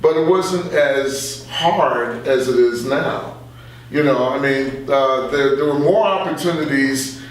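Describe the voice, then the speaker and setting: A man's voice: mid-range voice, slow, a little hiss or hum. An older man chatting casually about his views, opinionated and given to anecdote. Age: older, 60s or above. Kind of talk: casual political discussion. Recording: echoey room, distant from mic.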